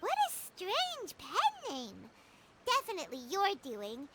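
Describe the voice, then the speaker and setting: A woman voicing a tiny, excitable, childlike fairy companion in a video game, with loud music behind her. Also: slow pace, heavily acted. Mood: skeptical. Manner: squeaky, talks of self in third person. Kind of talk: video game character acting. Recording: background music loud under the voice.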